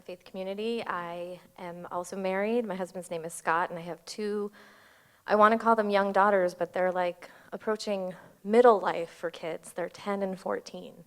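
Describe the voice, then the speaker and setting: A woman's voice: medium pitch, a bit bright. A middle-aged woman casually introducing herself to a live audience in a self-deprecating way. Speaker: panelist, nonprofit director. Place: church panel before an audience.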